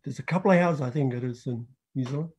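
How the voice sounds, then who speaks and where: A man's voice: medium pitch, quick pace, a little mellow, husky. A man from Tokelau, giving a casual interview and hesitantly thinking aloud. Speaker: interview guest, musician and music teacher.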